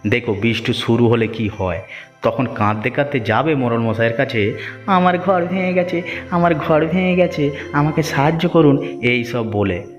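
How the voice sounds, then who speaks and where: A man playing a village headman's henchman in a cartoon story, a flatterer with a mocking, scolding manner. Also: mid-range voice, normal speed, close to an everyday voice. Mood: mocking threat. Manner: sneering, scolding, obsequious to his boss. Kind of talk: cartoon moral-story voice acting. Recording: muffled, echoing hall-like reverb, music behind.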